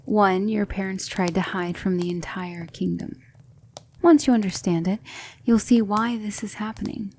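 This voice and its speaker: A woman playing a gentle, patient demon mentor in an ASMR roleplay, speaking softly and often in a whisper, soothing and reassuring. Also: mid-range voice, fairly even intonation, moderate pace, slight background noise, natural voice. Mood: calm, solemn storytelling.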